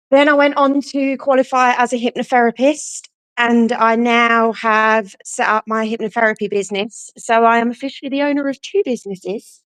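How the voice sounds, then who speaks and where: A woman's voice: fairly high pitch, fairly bright tone, smooth, no echo, poor audio. A woman, friendly and a little unsure, introducing herself on a video call. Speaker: kennel owner and hypnotherapist.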